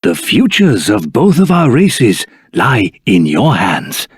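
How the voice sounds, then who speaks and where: An actor voicing a dignified elder of a dragon race in human form, speaking in a formal, courteous, earnest and husky voice for a fantasy video game. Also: male voice, lowish voice, wildly swooping pitch, slow, dry recording, studio quality, full character voice. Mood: earnest pleading.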